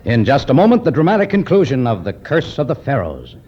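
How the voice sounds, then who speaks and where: A man narrating a 1950s radio adventure serial in a measured, dramatic style. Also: mid-range voice, wildly swooping pitch. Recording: muffled 1951 radio recording with music.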